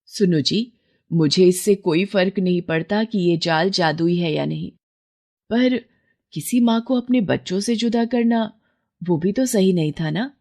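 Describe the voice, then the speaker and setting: A woman's voice: on the low side, measured pace, dark tone. Woman as a kind, earnest poor fisherwoman. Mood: gently firm. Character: poor, kind-hearted older fisherwoman, devout and gentle. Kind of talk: moral storybook cartoon voice acting.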